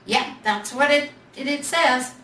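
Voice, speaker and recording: A woman voicing a gruff, impatient big toy bear in a storybook reading, blunt and grumbly. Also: high voice, a little slow, sharp tone, voice pushed up. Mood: impatient, demanding. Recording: roomy, noisy, distant library mic.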